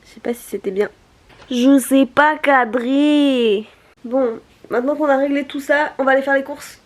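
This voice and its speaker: A woman's voice: high voice, normal speed, faint music behind. A young woman from Paris, chatting casually in a playful, slangy, self-mocking way as she vlogs. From Paris, France. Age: young adult. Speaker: vlogger.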